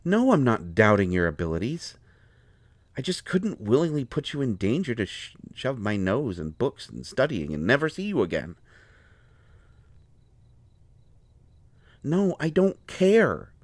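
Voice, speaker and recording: A man playing a proud, hurt, lovestruck healer in an intimate audio roleplay, speaking earnestly and haltingly with deep feeling. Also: sing-song, dry recording. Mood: fervent, frustrated. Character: proud, wounded, lovelorn male healer with a limp.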